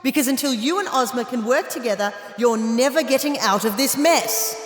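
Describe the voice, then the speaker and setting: A woman's voice: a little high, lively intonation, moderate pace, natural voice. A woman playing a sardonic, lecturing witch in a fantasy audio drama, dry and taunting. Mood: pointed. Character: sardonic, lecturing witch, a voice inside a girl's head. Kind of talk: full-cast fantasy audio drama acting. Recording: echoey reverberant voice, faint music.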